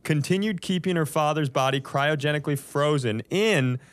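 A man's voice: fairly high pitch, normal speed. A man hosting a comedy podcast, telling a story from notes in a casual, profane way and often chuckling as he goes.

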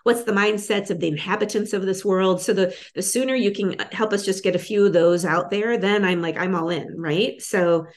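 A woman hosting and giving enthusiastic, rambling feedback on a pitch with many fillers. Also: steady tone, normal speed, hardly any pauses. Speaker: host and pitch advisor. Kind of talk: casual feedback chat.

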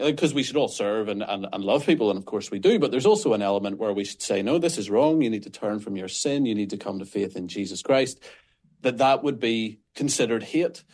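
A man's voice: fairly low pitch, darkish tone. A man with a Northern Irish accent, a pastor answering interview questions earnestly, often restarting his sentences. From Northern Ireland. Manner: earnest, with frequent restarts and fillers. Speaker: pastor and guest.

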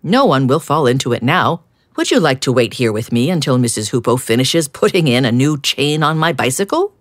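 Woman voicing a friendly male billy goat, chatty, cheerful, fairly loud, dry sound. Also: a bass voice, big pitch swings, a little fast, no room sound. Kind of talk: storybook reading.

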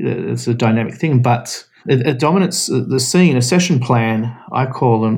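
A man with a gravelly, creaky voice and a middle pitch talks through advice in a relaxed, explanatory way. He restarts his sentences often as he thinks aloud in a casual podcast chat. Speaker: guest answering questions.

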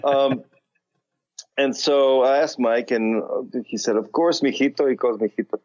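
A man's voice: medium pitch, normal speed, a little mellow, muffled recording. A man with a light non-native accent, talking as a musician guest in a casual interview, rambling with many restarts and fillers.

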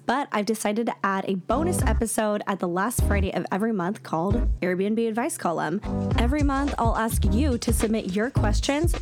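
A woman hosting a podcast, reading a scripted introduction to her listeners. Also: mid-range voice. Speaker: podcast host. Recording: loud music under the voice. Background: loud intro music.

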